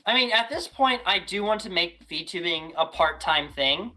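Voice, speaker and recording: Lively bright-voiced woman chatting. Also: lowish voice, normal speed. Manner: animated, chatty, restarts often. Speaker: podcast guest. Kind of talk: casual podcast chat.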